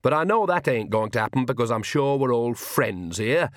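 A man's voice: mid-range voice, animated, moderate pace, dry recording, studio quality, natural voice. A man voicing a brash, cheerful old witch woman who gives orders bluntly in a storybook reading. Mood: commanding. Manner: breezy, blunt and commanding.

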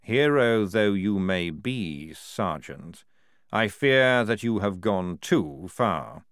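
A man voicing a senior male army general who speaks in a measured, unhurried, quietly commanding way. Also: lowish voice, sing-song, no room sound, clean studio sound, exaggerated voice. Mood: calm, firm.

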